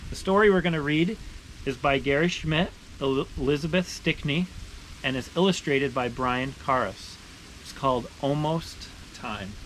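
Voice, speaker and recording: A man reading a picture book aloud to children with expressive, deliberate phrasing, stressing words as he narrates. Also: on the high side, poor audio. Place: beside a boiling maple sap evaporator. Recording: steady ambient background noise.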